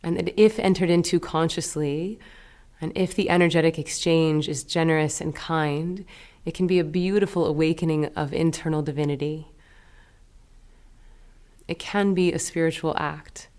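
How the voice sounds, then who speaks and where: Low, smooth-voiced woman guiding meditation. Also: steady tone, moderate pace, darkish tone. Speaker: guided meditation teacher. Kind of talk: guided meditation instruction.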